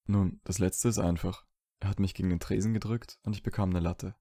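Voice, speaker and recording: A man's voice: low voice, fairly even intonation, brisk, warm tone, no room sound, clean studio sound. A man playing a troubled, dryly teasing young wizard in an audio drama. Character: troubled young wizard, dry and teasing, trying to quit drinking. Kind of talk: fan fiction audio drama dialogue.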